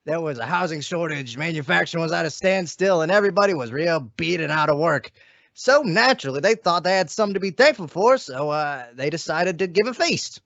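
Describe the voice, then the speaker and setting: A man's voice: on the high side, expressive, brisk, gravelly, a bit bright, dry recording, muffled recording. A man playing a slangy, self-important freeloading boyfriend who bluffs and stalls, in an old-time radio comedy. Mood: pompous.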